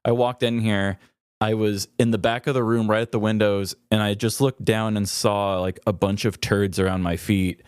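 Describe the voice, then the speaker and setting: Low-voiced man telling stories, dry sound. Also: flat delivery, moderate pace, a little mellow, smooth, dry recording. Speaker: podcast host. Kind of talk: casual comedic storytelling. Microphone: close podcast microphone.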